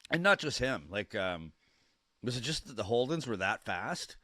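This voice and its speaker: A young man with a cold, sniffly and coughing, chatting casually and excitedly on a live stream, rambling and stumbling over his words. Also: medium pitch, moderate pace, no echo. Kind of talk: casual live-stream watch-along chat.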